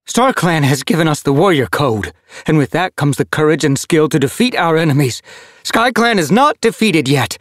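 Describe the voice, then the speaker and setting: A man's voice: on the high side, lively intonation, moderate pace, no room sound, clean studio sound, pitched above the performer's own voice, natural voice. A man voicing a proud, fierce young female warrior cat in a storybook reading, speaking in a defiant raised voice.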